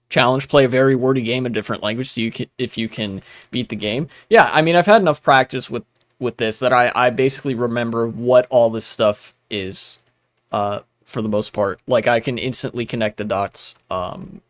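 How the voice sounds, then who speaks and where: A man's voice: mid-range voice, fairly quick, no room sound. A young man streaming while gaming, talking casually with many restarts and blunt asides.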